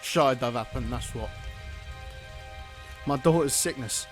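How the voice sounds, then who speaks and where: A man's voice: medium pitch, measured pace, soft background music, lightly acted. An actor playing a grieving middle-aged father turned bitter and vengeful. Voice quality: bitter, despairing. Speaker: villain, Killian.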